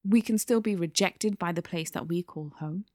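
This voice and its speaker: A woman's voice: fairly low pitch, moderate pace, darkish tone, no room sound, clean studio sound. A British woman in her thirties co-hosting a podcast, speaking in a direct, impassioned way. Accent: British English. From Britain. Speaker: podcast co-host. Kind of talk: casual podcast discussion.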